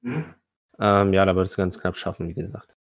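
A man's voice: deep voice, expressive, fairly quick, no echo, clean studio sound. A man running a tabletop role-playing game as game master, narrating off the cuff and thinking aloud.

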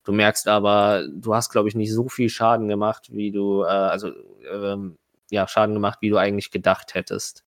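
A man's voice: on the low side, little pitch movement, normal speed, no echo, studio quality. A man running a tabletop game, improvising narration and rulings, often searching for his words. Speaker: game master.

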